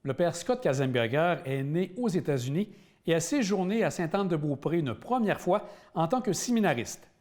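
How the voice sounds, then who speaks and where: A man's voice: a little high, very animated, moderate pace, a little mellow. A man hosting a local TV interview, asking questions with many fillers and hesitations. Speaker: interviewer and host. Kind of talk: community TV interview.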